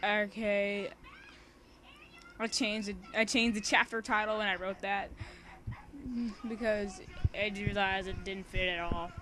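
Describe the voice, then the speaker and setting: A woman's voice: mid-range voice, measured pace, pauses often. Informal young woman reading aloud with chatty asides. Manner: giggly, informal, excitable, easily sidetracked. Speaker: amateur fan fiction reader and co-host. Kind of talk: casual read-aloud with bantering asides.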